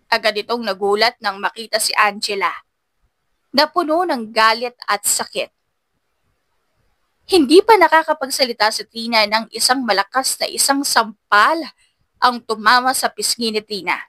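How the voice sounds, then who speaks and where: A woman's voice: fairly high pitch, lively intonation, moderate pace, sharp tone, dry recording. A woman giving dramatic scripted narration as an audiobook storyteller.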